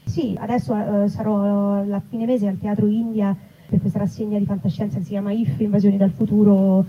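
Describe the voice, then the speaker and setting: A woman, a writer and actress, chatting casually and animatedly in an interview, often pausing to rephrase. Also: medium pitch, flat delivery. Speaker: writer and actress interviewed about her book. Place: live festival event. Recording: muffled recording with clear background noise.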